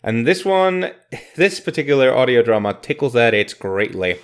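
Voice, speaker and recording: Male review host, casual commentary, irreverent, jokey, slangy, mid-pitched. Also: very animated, normal speed, smooth. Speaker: host of an audio drama review channel.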